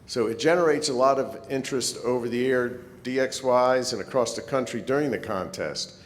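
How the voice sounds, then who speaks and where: Man presenting in an echoey hall. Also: a little fast. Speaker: club presenter. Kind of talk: informal club presentation. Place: meeting hall. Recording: echoey hall, rumbling background noise.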